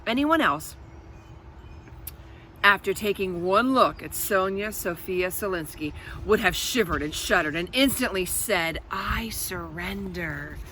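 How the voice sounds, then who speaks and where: A woman's voice: mid-range voice, expressive, measured pace, sharp tone, a little hiss or hum. A woman reading a children's storybook aloud as host, expressively, with explanatory asides to her young listeners.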